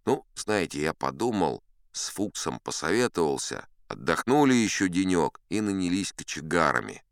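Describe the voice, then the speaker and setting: Man playing a deep-voiced, wry old-salt sea captain. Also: sing-song, moderate pace, vocal fry, clear, resonant tone, no echo, studio quality, full character voice. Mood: wry, unhurried. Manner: conversational, wry, leisurely asides to the listener. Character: seasoned sea captain spinning tall tales, unflappable, chatty. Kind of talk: theatrical audiobook storytelling in first person.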